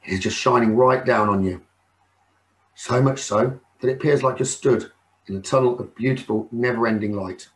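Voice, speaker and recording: Male meditation teacher, soothing and unhurried, mid-pitched, leaving space between phrases. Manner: soothing, unhurried, with frequent pauses. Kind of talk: guided meditation narration.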